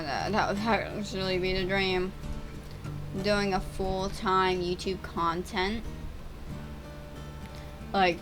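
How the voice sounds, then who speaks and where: A young woman in her teens, voice sitting low for her age with a slight roughness, keeps stumbling and restarting as she chats. She narrates her gameplay in a casual, unscripted ramble. Clear game music plays under her the whole time. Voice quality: voice getting deeper and rougher over time. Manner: chatty, stammering, restarts words. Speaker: gaming YouTuber.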